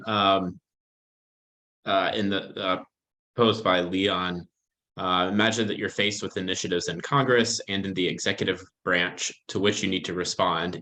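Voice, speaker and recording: A man moderating a webinar panel over a video call, reading out questions in a measured way with hesitant uhs. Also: low voice, pushed and bright, low-quality recording.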